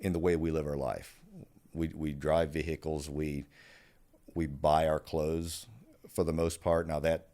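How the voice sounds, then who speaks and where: A man who grew up speaking Pennsylvania Dutch, with that accent, talking as a podcast guest in a thoughtful, halting way with many fillers and restarts. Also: a bass voice, level tone, mellow. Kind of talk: conversational podcast interview.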